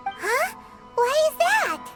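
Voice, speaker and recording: A woman's voice: very high voice, big pitch swings, very slow, exaggerated voice. A woman voicing a tiny, perky, childlike floating companion in a video game, cute and eager. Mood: perky, puzzled. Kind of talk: video game character acting. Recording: loud game music behind.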